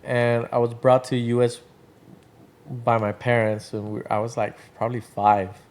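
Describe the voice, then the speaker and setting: A man's voice: mid-range voice, moderate pace. Rambling casual man from Venezuela. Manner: relaxed, rambling, many fillers. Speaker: guest, drink brand founder. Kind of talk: casual interview chat.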